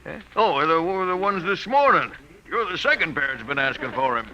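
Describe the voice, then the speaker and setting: A man's voice: on the high side, lively intonation, moderate pace, dull audio, natural voice. A man playing a wary, gossipy American hotel desk clerk in an old radio drama, offhand and slangy. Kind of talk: 1950s radio drama acting. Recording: faintly noisy vintage radio recording.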